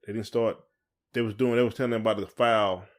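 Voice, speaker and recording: A man's voice: on the low side, fairly even intonation, quick pace. An American man co-hosting a podcast in casual chat, with informal, rambling, colloquial phrasing. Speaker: podcast co-host.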